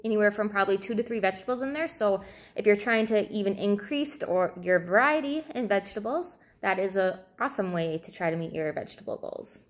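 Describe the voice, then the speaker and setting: A woman's voice: mid-range voice, animated, a little fast. A woman in her thirties giving informal advice to camera as a coach, conversational and thinking aloud as she goes. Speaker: fitness and nutrition coach. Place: indoors, small room at home, seated at a table.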